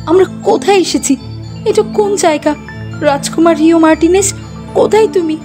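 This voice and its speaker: A woman's voice: very high voice, moderate pace, mellow, faint music behind, pitched above the performer's own voice. A woman voicing a proud, indignant fairy queen in a cartoon fairy tale. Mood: bewildered.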